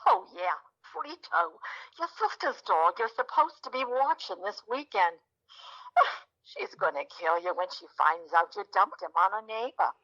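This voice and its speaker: An actress playing an older, brassy best friend in an audio comedy, sardonic, blunt and teasing. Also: female voice, medium pitch, big pitch swings, unhurried, a bit bright, highs cut off, heavily acted. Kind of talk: audio theatre comedy acting.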